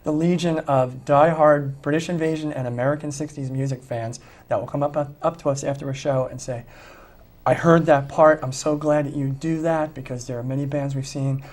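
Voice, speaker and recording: Earnest tribute band musician, mid-pitched man. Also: fairly even intonation, brisk, darkish tone. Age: adult with children. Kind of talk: interview conversation.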